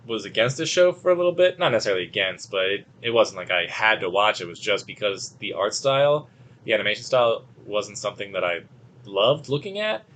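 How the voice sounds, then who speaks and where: A man with a fairly low but bright, forward voice co-hosts a podcast. He reviews things casually, in blunt slang with plenty of swearing. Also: big pitch swings, brisk. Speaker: podcast co-host.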